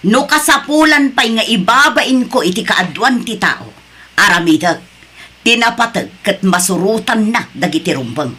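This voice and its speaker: A woman's voice: mid-range voice, expressive, normal speed, sharp tone, slightly rough. Woman acting a stern Ilocano woman boss. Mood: haughty, irritated. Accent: Filipino, Ilocano. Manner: scolding, haughty. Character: stern, faultfinding female supervisor who scolds staff. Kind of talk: Ilocano audio drama acting.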